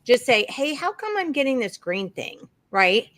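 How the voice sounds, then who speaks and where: A woman's voice: high voice, sing-song, normal speed, fairly bright tone. A woman, a guest on a talk show, making her points in an emphatic, conversational way. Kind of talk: election talk show discussion.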